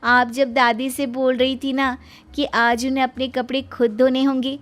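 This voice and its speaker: A woman's voice: sits high, level tone, normal speed, pitched above the performer's own voice, natural voice. A woman playing an innocent, obedient young daughter-in-law in a moral story, speaking respectfully and earnestly.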